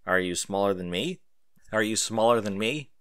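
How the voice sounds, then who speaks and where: A man's voice: fairly low pitch, fairly bright tone, clear, resonant tone, dry recording, studio quality, natural voice. A man voicing an easygoing military captain who teases and reassures a youngster in an audiobook story. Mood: teasing. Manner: teasing, unhurried reassurance to a youngster.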